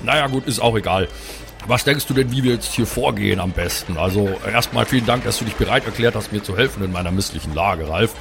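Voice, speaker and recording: A man's voice: lowish voice, fast, vocal fry. A man playing a worried, fatherly adventurer in an improvised tabletop audio drama, speaking in a hesitant, apologetic, rambling way. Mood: worried, rueful.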